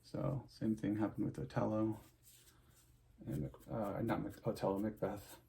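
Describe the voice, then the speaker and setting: Gravelly-voiced man rambling to camera. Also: lowish voice, steady tone, measured pace. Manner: chatty, full of fillers and restarts, warm sign-off advice. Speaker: opera singer. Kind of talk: casual rambling monologue to viewers.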